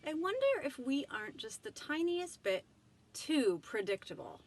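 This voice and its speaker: A woman's voice: high voice, big pitch swings, moderate pace, voice pushed up. A woman voicing a sweet, doting married woman in a storybook, gushing and emphatic. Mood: wistful.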